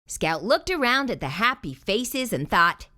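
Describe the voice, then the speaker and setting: Bright, animated woman reading a children's story. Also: mid-range voice, a little slow. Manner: animated, theatrical emphasis on exclamations. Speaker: read-aloud storyteller. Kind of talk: expressive children's picture book read-aloud.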